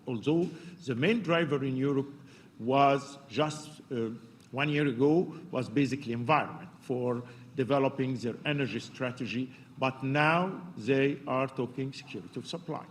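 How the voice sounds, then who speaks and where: Male panelist, loud and halting, presenting in a reverberant lecture hall with faint noise. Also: mid-range voice. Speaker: panel speaker. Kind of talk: conference presentation.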